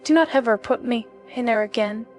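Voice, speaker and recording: A woman acts out a shaken young gallery test subject in a narrated drama. Her voice sits fairly high and sounds wary and emotional. Faint music runs underneath. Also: a little fast, a little mellow, lightly acted. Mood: distraught, defiant. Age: young adult. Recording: music behind the voice.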